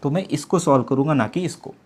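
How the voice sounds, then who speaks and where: A man's voice: on the high side, brisk, darkish tone. A young man, an exam coaching teacher, explaining step by step in a tutorial and asking himself rhetorical questions as he goes. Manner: repeats rhetorical questions, mixes English words into Hindi.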